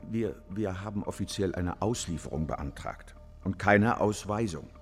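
An evasive male ambassador in a radio drama, with a low, formal, diplomatic voice. It rings in a reverberant hall with music underneath. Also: animated, normal speed. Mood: defensive, insistent. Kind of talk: radio play dialogue.

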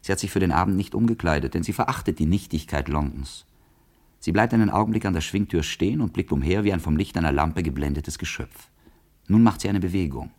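A man's voice: low voice, fast, darkish tone. An actor playing a brooding, observant man in a literary radio drama, solemn and poetic. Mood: intense.

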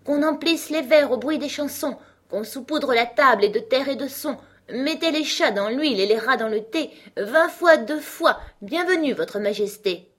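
A woman performs a festive storybook herald with a high, shrill voice. Verses are declaimed in a bold singsong. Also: animated, moderate pace, fairly bright tone. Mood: festive, proclaiming. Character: shrill herald singing a festive nonsense welcome.

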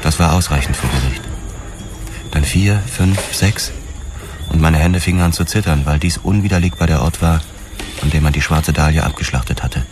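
An actor playing a haunted, hard-boiled police detective, narrating a noir radio drama in measured, clipped phrases. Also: male voice, rumbling low, over music. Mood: methodical, then shaken, brooding. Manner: measured, clipped, grim storytelling. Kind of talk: scripted first-person noir crime narration, radio drama.

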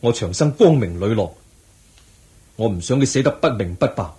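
A man's voice: fairly low pitch, lively intonation, normal speed, dark tone, close to an everyday voice. A man acting a young exiled prince bent on avenging his father, declamatory and emotional, in a radio drama. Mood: vengeful, resolute. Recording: echoey radio drama recording.

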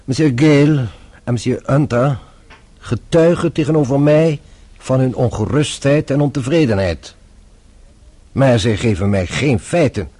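A patient French police inspector in a radio drama. He speaks in a warm, husky voice, formal and measured, always politely probing. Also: mid-range voice. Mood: gravely probing. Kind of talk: radio play acting.

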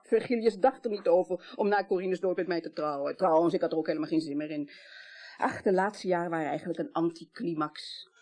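An actress plays an older woman with a slightly low voice in a radio drama. She is composed and candid. Her delivery is matter-of-fact and hospitable, like natural conversation. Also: brisk, darkish tone, close to an everyday voice. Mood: candid, wry. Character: candid, composed woman of about sixty, longtime mistress.

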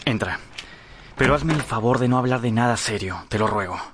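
A man's voice: mid-range voice, fairly quick, noisy background, natural voice. An actor playing a corrupt, vain man of youthful looks in his late thirties, theatrical and taunting, in a radio drama. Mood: guarded, evasive. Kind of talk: Spanish-language radio drama acting. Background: music.

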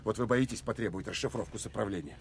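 An actor playing a hot-tempered, insubordinate Soviet officer in a radio war drama, blunt, agitated and slangy. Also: male voice, lowish voice, racing, creaky, slight background noise. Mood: heated, defiant.

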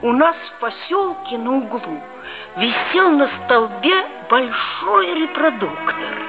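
Schoolboy narrator: high-pitched, unhurried, earnest and self-pitying, vintage radio drama. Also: male voice, sing-song, exaggerated voice. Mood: wistful, reflective. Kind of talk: 1960s Soviet radio play, first-person narration. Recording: dull old radio-play tape with loud music.

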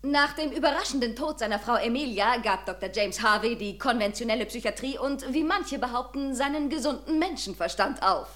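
A woman playing a brisk, showy television reporter in a German audio drama. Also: fairly high pitch, fairly bright tone, lightly acted. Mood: brisk reporting. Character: sensational female TV magazine reporter, brisk and showy.

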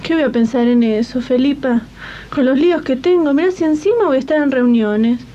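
A woman's voice: high voice, brisk, warm tone, close to an everyday voice. A woman acting an anxious, overburdened single mother who works as a maid in an old radio play, colloquial and plaintive, with an Argentine accent. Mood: worried, weary. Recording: muffled noisy old radio tape.

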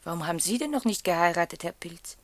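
An actress playing a proud, troubled young wife in a German radio play, loyal and evasive. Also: female voice, lowish voice, lively intonation, fast talker. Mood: troubled, confiding.

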